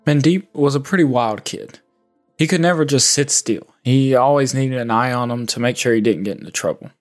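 Male podcast host, measured scripted narration, faint music bed underneath. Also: medium pitch. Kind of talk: scripted narration and interview questions.

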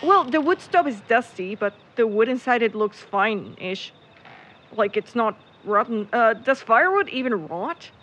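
A woman's voice: fairly high pitch, expressive, a little slow, a bit bright, a little hiss or hum. An actress playing a reluctant, nervous and wryly grumbling young woman in a naturalistic audio drama. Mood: skeptical. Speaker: actor in a supernatural mystery audio drama.

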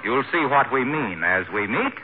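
A man announcing and introducing a 1940s radio comedy, smooth and measured. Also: medium pitch, big pitch swings, narrow, tinny audio. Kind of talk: old-time radio announcing. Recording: crackly thin old radio broadcast.

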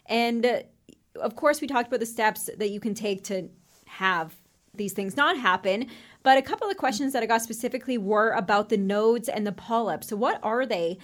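Woman host asking listener questions, kinda higher voice, casual. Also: normal speed. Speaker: interviewer.

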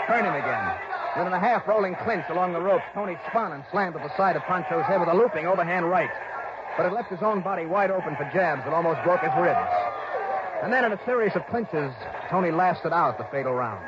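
A man's voice: high-pitched, lively intonation, a little fast, over a noisy crowd. An actor playing a tough, earnest investigator with an American accent, narrating his case in clipped, intense 1960s radio style. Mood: tense, building. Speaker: star and narrator. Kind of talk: dramatic first-person 1960s radio narration. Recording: noisy, muffled old radio recording.

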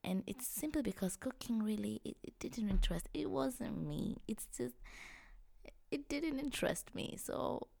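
A woman's voice: fairly high pitch, animated, unhurried, gravelly, a little mellow, no room sound, studio quality. A young woman, hosting a podcast and telling her own story in a reflective, personal way. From Tanzania. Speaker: podcast host.

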